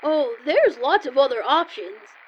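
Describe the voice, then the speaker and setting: A small boy with a high, animated voice, innocent and earnest while explaining his schemes matter-of-factly. It is radio play comedy with a muffled, lo-fi sound. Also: a little slow, a little hiss or hum. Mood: naive, eager. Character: earnest, naive, scheming little boy with big ideas. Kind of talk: old-time radio comedy sketch acting.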